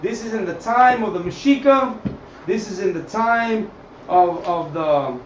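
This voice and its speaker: High-pitched man teaching, echoey and distant. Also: animated, a little slow. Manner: emphatic, repeats phrases, checks in with listeners. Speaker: teacher of a religious group. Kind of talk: improvised scripture and history lesson. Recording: echoey, distant mic, dull, faint noise.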